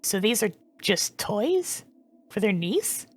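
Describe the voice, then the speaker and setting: A woman's voice: mid-range voice, sing-song, slow pace, breathy edge, heavily acted. A woman playing a curious young female student in a fandub, speaking in a casual, inquisitive way. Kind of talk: fandub character acting.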